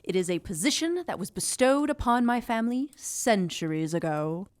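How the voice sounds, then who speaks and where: A woman's voice: medium pitch, expressive, normal speed, a little mellow, close to an everyday voice. A woman playing an eager, officious and self-conscious young witch with a chipper, theatrical manner in an audio drama.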